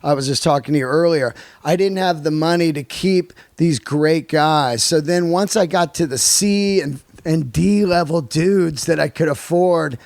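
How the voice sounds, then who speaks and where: High-pitched man, casual podcast host. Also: measured pace, vocal fry, few pauses, darkish tone, no room sound. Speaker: podcast host, comedian and musician.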